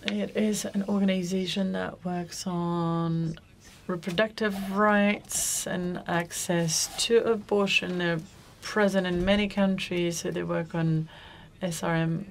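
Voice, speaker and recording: A lower-pitched woman introduces speakers at a conference. She speaks in a loose, unscripted way and keeps restarting and correcting herself. A faint hum sits under her voice. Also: fairly even intonation, takes it slowly, vocal fry. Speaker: panel moderator. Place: conference room.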